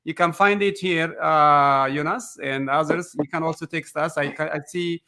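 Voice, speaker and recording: A man hosting a call-in interview show, with a somewhat high voice. He talks hesitantly, stumbling over words and filling pauses with "uh". He reads out viewer messages and puts questions to his guest. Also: fairly even intonation, sharp tone. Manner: hesitant, stumbling, many fillers. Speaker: host of an online call-in show.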